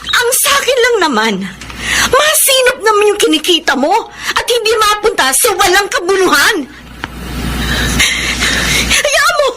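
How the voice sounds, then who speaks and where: An actress with a Filipino accent playing a sensitive, suspicious wife who stands up for herself in a radio drama. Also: female voice, very high-pitched, big pitch swings, a little slow, pauses often, full character voice. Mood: hurt, tearful anger. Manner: emotional, reproachful. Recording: noisy radio drama recording.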